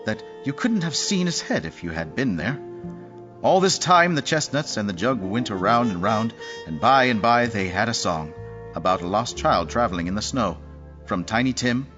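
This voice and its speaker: Animated male storyteller with music behind. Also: fairly low pitch. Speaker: narrator. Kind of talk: animated scripted storytelling of Victorian classic. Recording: dull lo-fi audio with music behind.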